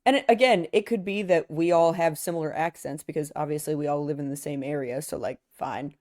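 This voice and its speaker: A woman's voice: low voice, brisk, darkish tone. A young woman from Chicago telling a story in a casual podcast chat, often laughing, swearing and acting out what people said. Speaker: podcast co-host.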